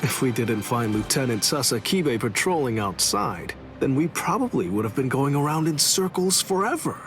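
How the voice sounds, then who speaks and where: Swordsman, gravelly edge, casual, cocky, blunt, wry, anime game, clear music behind. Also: medium pitch, sing-song, moderate pace. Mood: wry, defiant. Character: cocky, laid-back, blunt male swordsman, rebel leader. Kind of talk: anime game cutscene dialogue. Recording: dialogue over clear background music.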